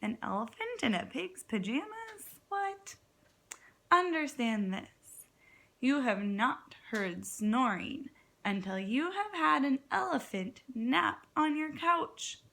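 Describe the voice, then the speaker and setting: Woman, kindergarten teacher, children's read-aloud, unhurried, creaky edges, expressive, encouraging, dramatic pauses. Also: high-pitched.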